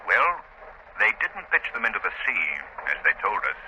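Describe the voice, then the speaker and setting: An actor playing a mocking, righteous crime-fighting avenger in a 1930s radio drama, with theatrical, deliberate delivery. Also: male voice, fairly low pitch, huge pitch swings, bright, forceful tone, a little hiss or hum, full character voice. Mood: grave. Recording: dull 1930s radio recording.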